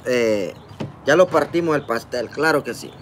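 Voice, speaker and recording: A man's voice: medium pitch, animated, moderate pace, a little hiss or hum. A man in his forties with a Honduran Spanish accent, casually greeting viewers by name on a phone livestream while eating outdoors. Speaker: livestream vlogger reading chat. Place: outdoors in a park, seated at a table. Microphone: phone camera close up.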